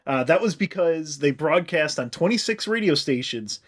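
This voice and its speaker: A man's voice: a little high, animated, normal speed. A man co-hosting a comedy podcast with casual, jokey, profane riffing.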